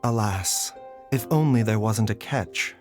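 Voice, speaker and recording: An actor playing a calm, quietly self-assured doctor in a video game, with a measured and formal manner. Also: male voice, fairly low pitch, over music, close to an everyday voice. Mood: reflective.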